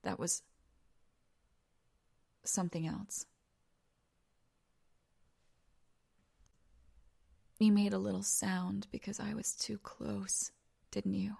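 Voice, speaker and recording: A woman playing a teasing, domineering young woman in an intimate audio roleplay, creaky and breathy, often dropping into whispers. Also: mid-range voice, fairly even intonation, slow, mellow, heavily acted. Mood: teasing, hushed. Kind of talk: intimate audio roleplay, second-person dramatic monologue.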